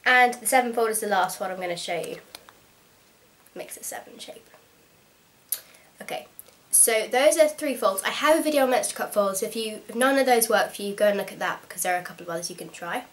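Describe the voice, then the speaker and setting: Young woman, slightly hoarse from a cold, frank and chatty vlogger, mid-range voice. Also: medium pitch, lively intonation, a little fast. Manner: chatty, frank and practical, with frequent ums. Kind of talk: casual instructional vlog.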